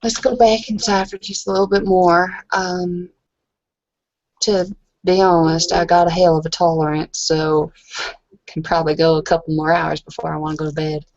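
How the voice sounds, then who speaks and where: A woman playing a bashful, nervous but stubborn young woman in improvised tabletop roleplay, halting and awkward. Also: fairly low pitch, level tone, a little mellow, no room sound, highs cut off, close to an everyday voice. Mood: hesitant, nervous.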